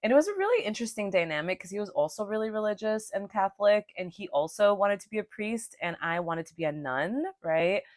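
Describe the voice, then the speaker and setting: A woman's voice: medium pitch, very animated, fairly quick, few pauses, no room sound, studio quality. A young woman from Los Angeles, a native Spanish speaker, hosting her own podcast in a casual, chatty way, swearing freely and tagging sentences with "right?" and "okay?". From Los Angeles, California. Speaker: podcast host and sex coach. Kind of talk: casual solo podcast monologue.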